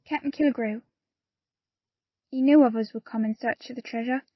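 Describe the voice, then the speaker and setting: Woman acting an earnest, higher-voiced pirate crewwoman. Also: fairly even intonation, normal speed, dry recording, dull audio, natural voice. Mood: earnest, sorrowful. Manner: earnest storytelling in archaic pirate dialect. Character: loyal, plain-spoken pirate crewwoman confiding a grave secret. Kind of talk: audio play acting.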